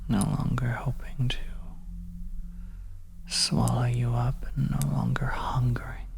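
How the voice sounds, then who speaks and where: Horror ASMR narrator, androgynous: breathy whisper, crackling fry, unhurried and deliberate, faint ominous ambient noise behind. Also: medium pitch, level tone, darkish tone. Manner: whispering, breathy, slow deliberate phrasing with long pauses. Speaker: audio roleplay storyteller. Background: oppressive ambience.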